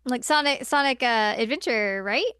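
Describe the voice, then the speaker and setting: A woman with a fairly high, clear voice talks casually as a podcast co-host. She takes her time, often pausing to think aloud. The sound is dry and close, with hardly any room in it. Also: bright, forceful tone, no room sound. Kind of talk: casual chat about movies.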